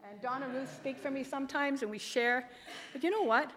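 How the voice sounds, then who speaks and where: A woman's voice: high voice, brisk, a bit bright. A middle-aged woman with a big, emphatic voice, giving an earnest, rambling personal testimony as a lay ministry leader. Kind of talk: personal testimony at a church gathering. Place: large reverberant room, speaking to an audience. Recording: reverberant hall.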